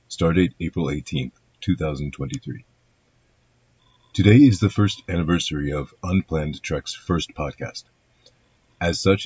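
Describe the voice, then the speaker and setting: A man plays a logical half-human science officer in a radio play. The voice is very low and a little rough, with formal, deliberate, deadpan delivery. The recording is slightly dull and lo-fi. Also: no echo, heavily acted. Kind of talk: scripted amateur radio play.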